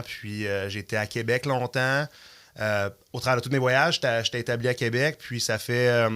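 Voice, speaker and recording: A man's voice: medium pitch, sharp tone, smooth. A man in his thirties with a Québécois French accent, a guest chatting casually in a podcast studio, rambling with many fillers. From Canada. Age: 30s. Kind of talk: casual interview talk.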